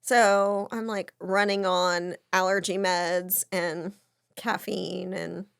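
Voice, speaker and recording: A woman's voice: lowish voice, level tone, slow, gravelly, clear, resonant tone, dry recording. Congested woman, dry close sound. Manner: wry, self-mocking, laughs often. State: congested from a cold, slightly hoarse. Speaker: podcast co-host. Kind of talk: casual chat.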